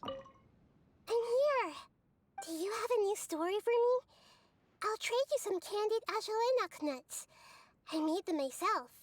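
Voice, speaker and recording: Tiny sweet game girl, super high voice, gentle n earnest, music behind. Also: animated, unhurried, long pauses. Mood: bright, friendly. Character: cheerful, gentle young bird-loving girl in a fantasy game. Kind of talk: scripted game character dialogue.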